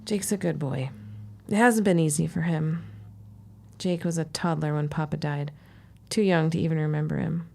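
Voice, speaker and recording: Plainspoken young shop woman in an old-time drama, kinda low voice, wry and direct. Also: steady tone, normal speed, gravelly, warm tone. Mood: reflective. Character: plainspoken, loyal, spirited young shopkeeper woman, 1910s drama. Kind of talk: period audio drama acting.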